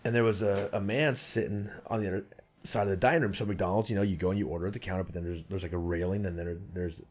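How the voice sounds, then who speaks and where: A man's voice: sits low, fairly even intonation, fast talker, darkish tone, breathy edge. A man telling stories and arguing his views in casual chat, blunt, profane and emphatic.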